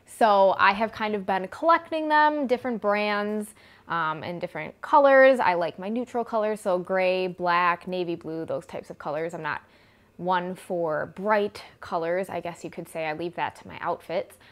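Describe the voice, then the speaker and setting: A woman's voice: on the low side, normal speed. A woman in her twenties with a Midwestern American accent, giving a chatty, informal product review as a vlogger. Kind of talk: casual product review to camera. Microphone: clip-on lapel mic.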